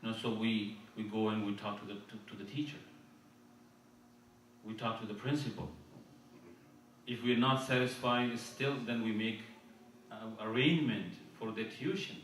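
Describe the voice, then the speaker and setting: A man's voice: lowish voice, fairly even intonation, fairly bright tone, slight background noise. Man preaching in a very echoey hall. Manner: earnest, repeats and restarts phrases, recites Arabic verses quickly. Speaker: speaker at an Islamic conference. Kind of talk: sermon. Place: mosque hall. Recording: very echoey hall, speaker far from mic.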